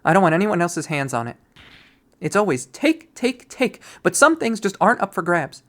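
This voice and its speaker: A performer voicing a bubbly but touchy young woman in a visual novel, with an animated, emphatic, girlish manner. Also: medium pitch, normal speed, pitched above the performer's own voice, lightly acted. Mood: defensive, heated.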